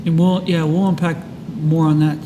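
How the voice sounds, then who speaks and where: Man, slightly high voice, casual reflective co-host, reverberant room, clear background noise. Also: flat delivery, normal speed. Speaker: co-host of a recovery book study.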